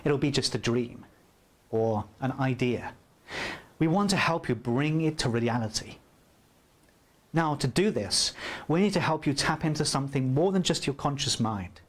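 A man in his forties giving an upbeat, persuasive promotional pitch to camera in an indoor room, on a lapel mic. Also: expressive, normal speed. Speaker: course presenter. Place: indoor room by a flip chart.

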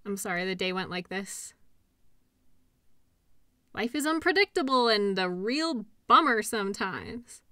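A woman's voice: medium pitch, lively intonation, unhurried, long pauses, sharp tone, dry recording, clean studio sound. A woman playing a caring, upbeat girlfriend in an intimate roleplay audio, talking in a soothing, playful, encouraging way. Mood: tender, sympathetic. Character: caring, upbeat girlfriend comforting an injured partner.

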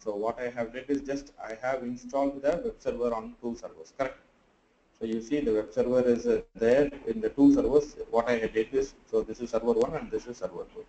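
A tired, sleepy man teaching a live online class, talking through his screen in a halting way full of fillers. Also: medium pitch, dark tone, reverberant, lo-fi sound, slight background noise. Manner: halting, thinks aloud with many fillers. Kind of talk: live screen-share tutorial.

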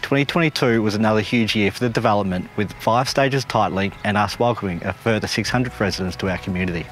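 A man in his thirties with an Australian accent, giving a polished, upbeat scripted project update to camera outdoors in a park as development manager. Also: mid-range voice. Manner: upbeat, polished corporate delivery.

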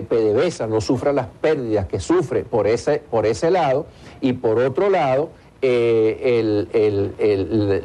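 A man, giving hesitant, deliberate analysis in a television interview. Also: mid-range voice, warm tone. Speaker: economic analyst being interviewed. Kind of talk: live television news interview. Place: TV studio in Caracas.

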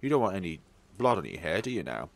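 A man with a fairly low voice narrates a horror game as a let's play host. His pitch moves around a great deal, and he reads theatrically, with wry, playful commentary. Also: very animated, fast, creaky. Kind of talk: playful gaming commentary and expressive read-aloud of a visual novel.

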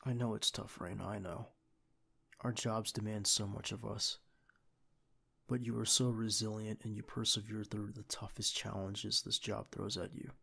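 A young man playing a devoted secret agent boyfriend in an audio roleplay, with a husky, gravelly voice and an earnest manner. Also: on the low side, level tone, moderate pace, pushed and bright, full character voice. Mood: gentle, comforting. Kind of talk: ASMR boyfriend roleplay acting.

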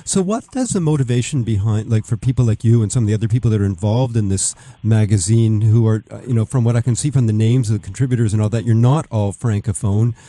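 A man's voice: lowish voice, fast talker, hardly any pauses, mellow. A man hosting a community radio show, asking his guest questions in a hesitant, stumbling way. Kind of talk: radio interview.

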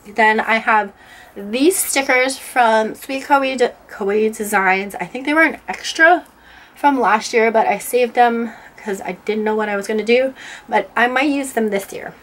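A woman's voice: fairly high pitch, unhurried, a bit bright. A woman getting over a cold, sounding nasal, chatting casually with lots of ums as she walks through her planner stickers. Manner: chatty, rambling, many ums. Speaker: planner vlogger. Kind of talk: casual plan-with-me narration. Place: indoors at a desk, overhead camera.

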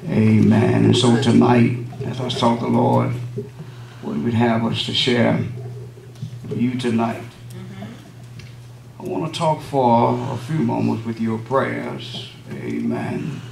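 Gravelly-voiced preacher in an echoey church. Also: male voice, mid-range voice, a little mellow, slight background noise. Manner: measured preaching cadence with frequent amens. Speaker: visiting pastor. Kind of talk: sermon opening and prayer. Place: wood-paneled church sanctuary. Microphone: lectern microphone, camera at back of room. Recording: echoey room, distant camera, congregation responses.

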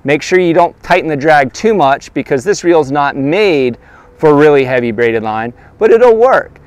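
A man in his thirties speaks outdoors on a lapel mic, so the sound is dry and close with a smooth, clear tone. His pitch sits a little high. He walks through his tackle in a practical, easygoing way, as a guide would. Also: no room sound. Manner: relaxed, practical, talking straight to camera. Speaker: fishing guide. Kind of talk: outdoor gear demonstration. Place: outdoors by a lakeshore.

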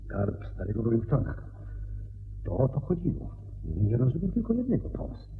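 A man's voice: mid-range voice, wildly swooping pitch, moderate pace. A man acting in a radio play as a detective's puzzled, eagerly curious companion, asking questions. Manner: questioning, inquisitive. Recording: dull radio recording, faint noise and hum.

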